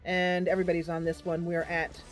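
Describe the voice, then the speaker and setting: A woman hosting a casual game livestream, chatty and animated, talking through her play and reading bits of story aloud. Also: lowish voice, brisk, faint music behind. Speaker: streamer and host playing a fantasy role-playing game. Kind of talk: casual livestream gameplay commentary with narration read aloud.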